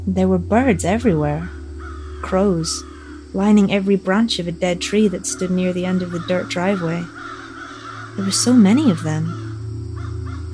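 Woman reading as nervous young mom telling a creepy story, lowish voice, faint music behind. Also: a little mellow, lightly acted, rough recording. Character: uneasy young mother recounting an eerie encounter. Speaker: horror story narrator. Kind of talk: dramatic first-person horror narration. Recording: narration over background music.

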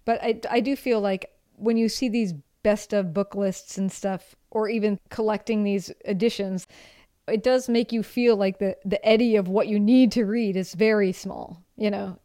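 A woman's voice: mid-range voice, steady tone, moderate pace, warm tone, clean tone. A woman co-hosting a podcast, chatting casually and thoughtfully about reading and food, with easy laughs. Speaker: podcast co-host. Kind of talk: casual chat.

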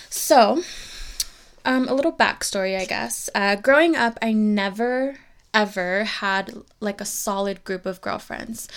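A woman's voice: fairly high pitch, clear, resonant tone. A woman in her twenties hosting a podcast, telling a personal story in a candid, reflective way and speaking to her listeners directly. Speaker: podcast host.

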